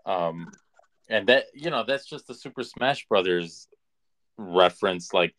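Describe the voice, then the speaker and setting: A male podcast co-host speaks in a fairly low voice at an unhurried, slow pace. His delivery is casual and halting, like a relaxed chat between friends.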